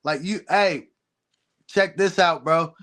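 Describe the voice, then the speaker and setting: A man's voice: fairly high pitch, slow pace, fairly bright tone. A man who wrestles, a podcast guest, talking casually and emphatically, peppering his talk with "bro.". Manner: emphatic, agreeing, slangy "bro". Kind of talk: casual chat.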